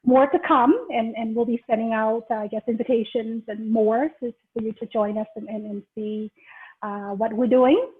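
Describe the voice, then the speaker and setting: A middle-aged woman from Vietnam with a fairly high voice hosts an online gathering. She is chatty and giggly in an informal way. The audio is thin and phone-like, as on a video call. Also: steady tone, moderate pace. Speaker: host of an online ceremony. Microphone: video call microphone. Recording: tinny, band-limited video call audio.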